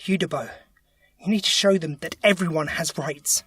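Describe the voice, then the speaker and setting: A man's voice: on the high side, normal speed, a bit bright, slightly rough, dry recording, clean studio sound. A man playing a kindly, earnest alien time traveller in an audio drama. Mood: earnest, urging. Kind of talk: fan audio drama acting.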